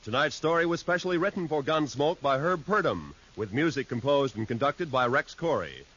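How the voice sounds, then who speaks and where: Bright, booming radio announcer man. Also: lively intonation, normal speed, rough recording. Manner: crisp, booming announcer delivery. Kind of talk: 1950s radio closing credits.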